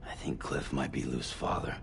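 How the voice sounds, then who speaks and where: An actor playing a weary, gruff middle-aged courier who talks in quiet, terse lines. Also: male voice, normal speed, noisy background. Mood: subdued. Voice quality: deep gravelly voice. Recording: game cutscene audio with score.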